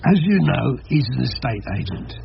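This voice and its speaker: A doleful English investigator with a heavy cold. He sounds congested and creaky, giving a deliberate, respectful report. The radio drama recording is dull and slightly noisy. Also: mid-range voice, big pitch swings. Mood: glum reporting. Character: doleful male private detective with perpetual cold. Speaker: private investigator. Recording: dull, lo-fi recording with faint noise.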